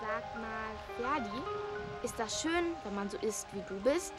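A teasing young girl in a radio drama. Her voice is fairly high and unhurried, playfully mimicking, with clear music behind it. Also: animated.

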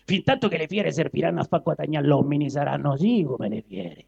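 Man voicing a proud caged lion, gravelly Roman grumbler. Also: on the high side, animated, brisk, darkish tone, full character voice. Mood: indignant. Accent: Roman Italian dialect. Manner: theatrical, declamatory, grumbling. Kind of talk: dramatic dialect verse recital. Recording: dull lo-fi live recording.

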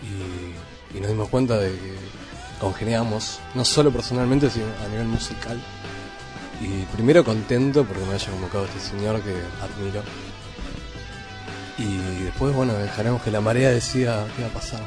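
A low-voiced guitarist answers calmly and in measured phrases. Clear music sits behind him. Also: male voice. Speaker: guitarist guest. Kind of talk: casual interview.

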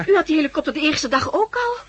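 A woman's voice: high-pitched, expressive, quick pace, fairly bright tone. An actress playing a spirited survivor wife, eager and emphatic in her questions, in 1960s Dutch radio drama style. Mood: curious.

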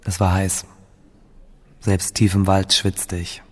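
A man's voice: low voice, little pitch movement, slow. An actor playing a grieving seventeen-year-old boy fleeing war, telling his story in a subdued, reflective radio drama monologue. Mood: weary, reflective.

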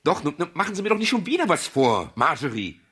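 An actor playing a middle-aged private detective in a radio crime drama, wry, dry and expressive in dialogue and narration. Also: male voice, fairly high pitch, quick pace. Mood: exasperated. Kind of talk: 1970s German radio crime drama acting and first-person narration.

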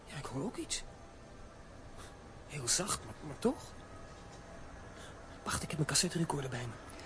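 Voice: medium pitch, big pitch swings, measured pace, a bit bright, slight background noise. An actor playing a brave, earnest young boy detective in a children's audio drama. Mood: hushed.

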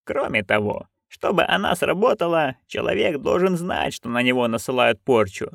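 Reader doing a stubborn old witch lady, quiet, flat, short answers, dry recording. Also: mid-range voice, vocal fry, no room sound. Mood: stern. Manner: curt, flat, slow and quiet.

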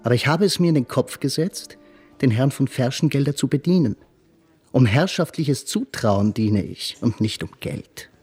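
An actor playing a brash, crude young manservant who wheedles and boasts in a radio play. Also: male voice, medium pitch, expressive, normal speed, darkish tone, breathy edge, faint music behind. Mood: pushy, servile.

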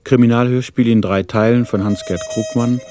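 A man's voice: medium pitch, fairly even intonation, moderate pace, lightly acted. A man playing the sober announcer of a radio crime serial, reading a scripted recap. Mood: sober, suspenseful. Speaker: announcer and narrator. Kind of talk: scripted radio drama narration. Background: prominent music.

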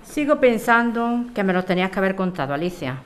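A woman's voice: mid-range voice, big pitch swings, a little fast, lightly acted. A woman acting a firm, resolute neighbour facing eviction in an audio drama. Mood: reproachful. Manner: reproachful, firm. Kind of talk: audio fiction podcast acting.

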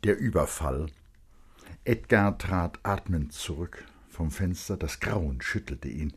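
A man with a deep, husky voice narrates a literary story for radio. His delivery is expressive and full of suspense, shaped as dramatic storytelling. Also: takes it slowly. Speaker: narrator, reader.

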